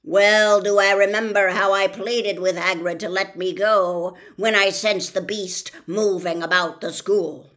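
A woman voicing an ancient, fierce male giant spider in a storybook, slow, menacing and emphatic. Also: mid-range voice, steady tone, a bit bright, close to an everyday voice. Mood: fearful, grave. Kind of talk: storybook read-aloud character voice.

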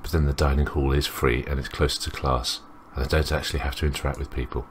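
Very deep-voiced man reading a game aloud. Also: flat delivery, fast, a little hiss or hum. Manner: rambling, self-interrupting. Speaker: let's play host. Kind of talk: reading a visual novel aloud with off-the-cuff commentary.

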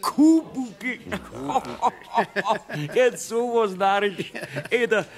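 Drawling, jeering Bavarian locksmith. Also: male voice, sits high, extremely slow, creaky, heavily acted. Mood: mocking. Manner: mocking, chuckling drawl. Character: jeering, jovial male locksmith at a tavern. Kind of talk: radio play acting for children.

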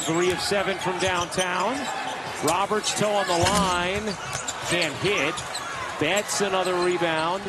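A man doing live play-by-play for a basketball game, with quick excited calls on big plays. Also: sits high. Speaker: play-by-play commentator. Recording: broadcast booth over loud arena crowd noise. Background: arena crowd and bouncing ball.

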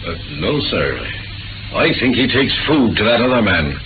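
Muffled old-radio butler, deferential. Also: male voice, lowish voice, sing-song, moderate pace. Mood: deferential, uneasy. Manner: respectful, subdued. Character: deferential, uneasy household butler. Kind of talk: 1940s radio acting. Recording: muffled vintage radio with music.

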